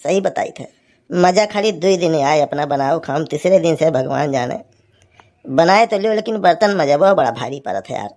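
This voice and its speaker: A folksy cartoon husband acted by a woman with a low voice and an Awadhi village accent. He grumbles, teases and scolds, a put-upon man stuck with the housework. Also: animated, moderate pace, darkish tone. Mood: rueful, complaining. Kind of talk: cartoon comedy dialogue.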